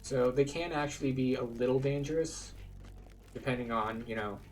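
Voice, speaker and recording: Man, middling pitch, conversational video game guide, explanatory, slightly far from mic, clearly noisy background. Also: moderate pace. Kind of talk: casual playthrough commentary.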